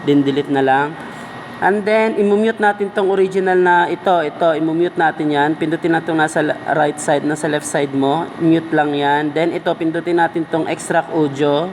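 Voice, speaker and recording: A man's voice: on the high side, steady tone, normal speed, slight background noise. A man with a Filipino accent giving a casual step-by-step tutorial, talking informally as if to a buddy. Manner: informal, addresses viewer as a buddy. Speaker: video editing tutorial presenter.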